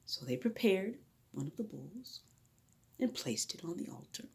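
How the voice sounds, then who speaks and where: A woman narrating a Bible story as a theatrical, emphatic dramatic reading, moving between hushed and loud delivery. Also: fairly low pitch, very animated, measured pace, vocal fry, breathy edge. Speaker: dramatic reader.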